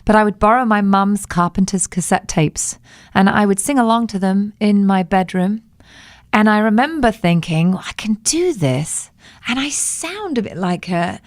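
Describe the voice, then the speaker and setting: A woman's voice: medium pitch, expressive, moderate pace, no room sound, clean studio sound. A woman singer being interviewed on the radio, reflective and telling anecdotes in a conversational way. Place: radio studio.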